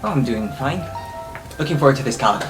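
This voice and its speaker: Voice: medium pitch, lively intonation, fast. A tabletop role-playing player improvising in character and describing the action casually. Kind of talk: improvised role-play chat. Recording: clear music behind the voice. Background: background music.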